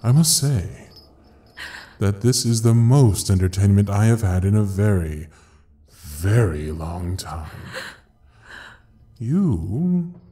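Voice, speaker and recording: An actor playing an ancient devil who rules hell, taunting and theatrical in an audio roleplay with a drawn-out delivery. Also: male voice, fairly low pitch, big pitch swings, dark tone. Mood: amused, taunting. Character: ancient, mocking male devil ruling hell. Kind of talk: dramatic audio roleplay acting.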